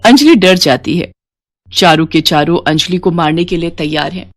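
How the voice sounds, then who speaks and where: A woman's voice: on the low side, normal speed, no echo. A woman narrating a scripted moral bedtime story as a storyteller.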